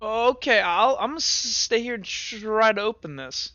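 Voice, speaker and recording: A game master voicing a nervous teenage boy companion who stutters and trips over his words, in somewhat higher tones than their own voice. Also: lively intonation, moderate pace, highs cut off, crowd noise, close to an everyday voice. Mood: uneasy. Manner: stutters and hesitates.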